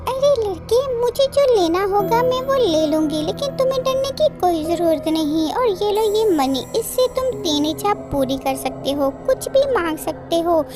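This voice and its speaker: A sly witch from a children's cartoon, acted by a performer. The voice sits extremely high and pretends kindness while scheming. Music plays clearly behind it. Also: animated, normal speed, hardly any pauses. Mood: reassuring. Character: cackling female witch, sly, falsely kind, scheming. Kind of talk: Hindi children's cartoon story acting.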